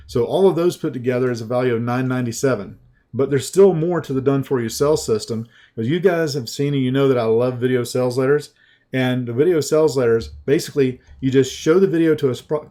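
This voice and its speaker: Southern US man, sales coach type, mellow unhurried webinar pitch with a folksy feel. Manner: slow, folksy, conversational with restarts.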